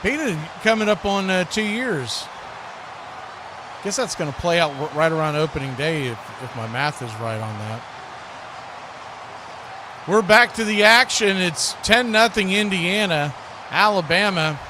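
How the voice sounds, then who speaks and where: A man with a high voice delivers live football commentary as a streaming host. His manner is excitable and conversational, moving between calling plays and chatting. Clear background noise runs behind him. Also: measured pace, pauses often. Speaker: sports play-by-play host.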